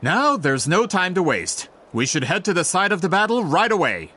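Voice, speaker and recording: Keen, polite merchant, fairly high voice, lively delivery, game cutscene. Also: male voice, normal speed, slight background noise, exaggerated voice. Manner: courteous, eager, slightly awkward. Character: polite eager male merchant, brisk and persistent.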